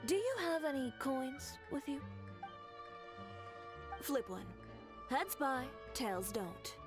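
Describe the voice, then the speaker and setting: Game character, perceptive female tailor: higher voice, animated intonation, polite and gently persuasive. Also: slow, music in the background, heavily acted. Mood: teasing, coaxing. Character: poised, perceptive woman tailor, gently coaxing a customer. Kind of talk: scripted video game character dialogue.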